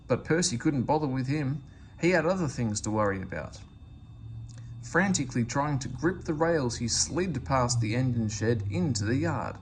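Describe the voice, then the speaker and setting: A man reading a children's story aloud in expressive narration. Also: medium pitch, slight background noise. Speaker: storybook reader.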